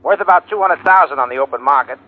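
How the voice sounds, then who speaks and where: A man's voice: a little high, fast, lightly acted. An actor playing a chatty, businesslike insurance man on a call in a 1950s radio drama. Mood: brisk, chatty. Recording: dull, lo-fi old radio recording.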